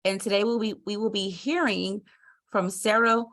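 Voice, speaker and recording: A woman hosts an online business session. She makes slow, careful announcements and sometimes restarts a sentence. She speaks into a video call mic at a middling pitch. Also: steady tone, fairly bright tone. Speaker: business manager and session host. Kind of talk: introducing a webinar.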